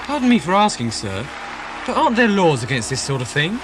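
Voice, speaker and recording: A man plays a first officer in a sci-fi radio drama, respectful yet doubtful. His medium-pitched voice moves around a lot, with music and crowd sound clearly behind it. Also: sing-song, a little fast. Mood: uneasy. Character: deferential but questioning male ship's first officer. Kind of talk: audio drama acting.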